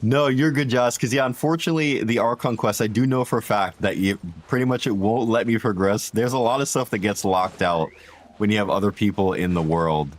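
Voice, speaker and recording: A man with a deep voice streaming a game, chatting casually and rambling to viewers with slang. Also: brisk. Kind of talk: casual gameplay livestream chat. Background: game music.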